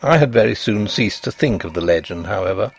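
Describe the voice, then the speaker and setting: A man narrating a Victorian ghost story as scripted reading, with an expressive, literary delivery. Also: on the low side, fairly quick, creaky, husky.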